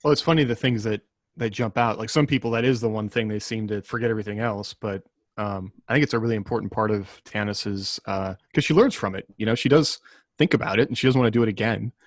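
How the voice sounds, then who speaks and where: A man's voice: on the low side, fast talker, no echo. Tentative man in a dry, close recording. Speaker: podcast host and writer. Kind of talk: casual podcast chat.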